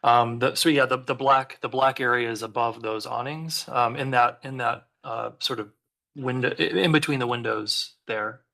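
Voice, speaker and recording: A man's voice: medium pitch, normal speed, vocal fry, bright, forceful tone. A man on a city commission giving hesitant comments at a public meeting, full of fillers and restarts.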